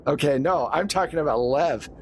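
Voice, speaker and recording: A man's voice: fairly high pitch, moderate pace, a little hiss or hum. A man narrating a horror tabletop game as game master, in a casual, conversational storytelling way.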